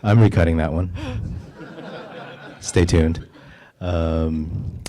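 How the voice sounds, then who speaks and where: A man answering a question as an actor and filmmaker, relaxed and offhand. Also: deep voice, drawn-out words. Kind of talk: casual Q&A answer.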